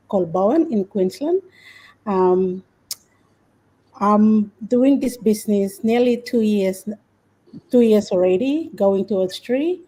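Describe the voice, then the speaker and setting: A woman's voice: mid-range voice, warm tone, rough recording. A woman from Papua New Guinea introducing herself on a video call, a little hesitant, repeating words as she goes.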